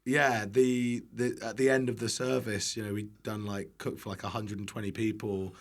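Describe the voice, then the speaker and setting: A man's voice: lowish voice, little pitch movement, normal speed. A British man telling casual anecdotes as a guest, in a self-deprecating way with some casual swearing. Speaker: guest, internet cooking creator.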